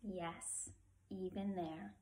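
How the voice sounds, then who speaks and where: A woman's voice: on the low side, fairly even intonation, very slow, dark tone, slight background noise, pitched below the performer's own voice. A woman voicing a patient, reassuring mother of young children in a storybook, soothing and assured. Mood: assured, loving. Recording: echoey room.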